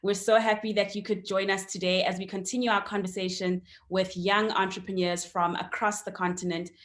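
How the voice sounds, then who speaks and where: A woman's voice: mid-range voice, flat delivery, fairly quick. A woman, hosting an online interview and welcoming her guest, with frequent ums along the way. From South Africa. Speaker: host.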